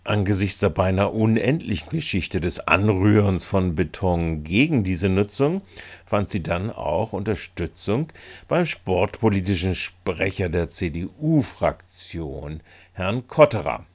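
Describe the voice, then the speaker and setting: Male reporter, deepish voice, unhurried delivery, sardonic scripted narration. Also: animated. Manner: wry, sardonic. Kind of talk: scripted, opinionated local news narration.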